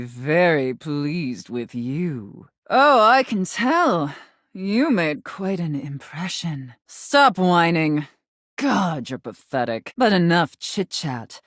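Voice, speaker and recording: Video game Santa boss: domineering, haughty, scolding; slow, deliberate delivery, close dry sound. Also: female voice, low voice, very animated, no echo, heavily acted. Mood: stern, smug. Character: stern, domineering woman playing a demanding Santa Claus boss. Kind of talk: theatrical video game voice acting.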